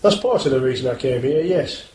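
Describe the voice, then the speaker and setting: A man's voice: medium pitch, expressive, brisk, warm tone, natural voice. A British man playing an earnest, guarded police inspector in a radio drama, speaking formally and politely. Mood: formal, probing. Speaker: actor in amateur radio play. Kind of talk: scripted radio drama dialogue. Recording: roomy, hissy amateur radio play recording.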